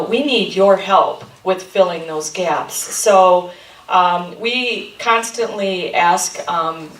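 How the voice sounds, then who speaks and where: A woman's voice: mid-range voice, recorded from a distance. Chatty woman in an echoey room. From Michigan. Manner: friendly, rambling, many ums and restarts. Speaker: chamber of commerce president giving a talk. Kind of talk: informal presentation to a local group. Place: meeting room. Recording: echoey room recorded from afar.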